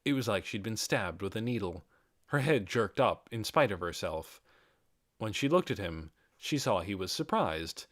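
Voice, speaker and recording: A man reading a fantasy audiobook as narrator, in a measured and expressive dramatic storytelling style. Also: lowish voice, dry recording, clean studio sound.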